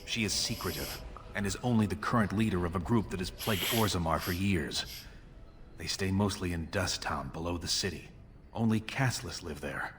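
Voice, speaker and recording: An actor playing an ambitious dwarf prince in a video game, speaking formally and with resolute authority. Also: male voice, sits low, moderate pace, fairly bright tone. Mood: measured, grave. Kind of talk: video game character dialogue.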